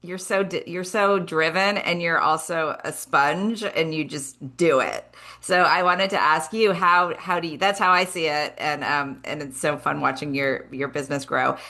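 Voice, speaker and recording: Lady host and coach, bright crisp voice, kinda low, animated. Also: moderate pace. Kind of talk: conversational interview.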